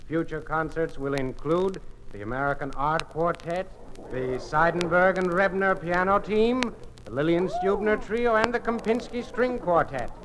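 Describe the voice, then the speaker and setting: An actor playing a genial, mild museum concert organizer with a genteel manner in an old-time radio drama. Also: male voice, high voice, unhurried. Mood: formal, announcing. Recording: noisy old radio transcription.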